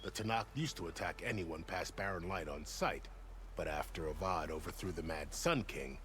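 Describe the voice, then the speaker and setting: Deep-voiced, seasoned frontier soldier. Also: male voice, moderate pace, a bit bright, a little hiss or hum. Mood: matter-of-fact. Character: seasoned, plain-spoken male fantasy frontier soldier. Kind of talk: video game dialogue.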